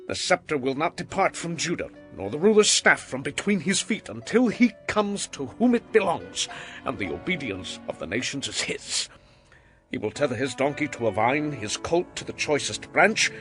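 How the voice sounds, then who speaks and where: A man's voice: mid-range voice, a bit bright. An actor playing an aged, dying patriarch, grave and declamatory, blessing his sons in a dramatized scripture reading. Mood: proud, exalting.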